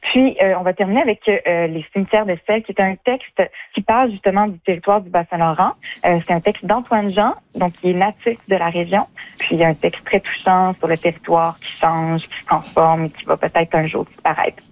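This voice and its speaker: A woman's voice: on the low side, steady tone, fairly quick. A woman from Quebec with a Quebec French accent, answering casually in an interview as a festival's artistic director. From Quebec, Canada. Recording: tinny phone-like line.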